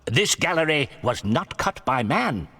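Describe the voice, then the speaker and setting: A man's voice: a little high, very animated, a little slow, bright, forceful tone, pitched above the performer's own voice, full character voice. A man voicing a confident, exacting older professor and explorer, lecturing assertively in an audiobook adventure story. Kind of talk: audiobook character dialogue. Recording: long hall-like reverb.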